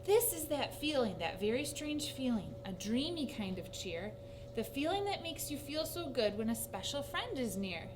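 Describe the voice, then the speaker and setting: A woman acts out an honorable old mayor from a storybook. He proclaims in a high, ceremonious voice with formal care. The recording has clear noise and a hum. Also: moderate pace. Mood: wondering. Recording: noisy recording with steady hum.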